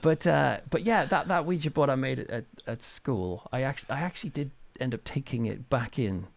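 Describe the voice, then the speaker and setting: A middle-aged British man chatting casually as an interview guest, telling anecdotes in an informal, animated way. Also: medium pitch, normal speed, gravelly, no room sound. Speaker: interview guest, author and reverend.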